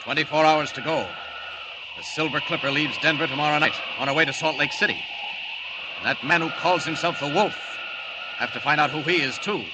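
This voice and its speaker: An actor playing a resolute flying superhero thinking aloud in old radio drama, in clipped, determined phrases. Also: male voice, a little high, close to an everyday voice. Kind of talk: 1940s radio drama acting. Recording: loud rushing noise, old radio recording.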